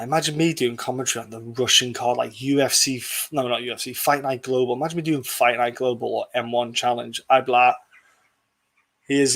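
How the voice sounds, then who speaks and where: A man's voice: mid-range voice, fairly bright tone. Blunt man chatting about fights. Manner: blunt, rambling, swears freely. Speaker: podcast host. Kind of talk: casual sports prediction chat.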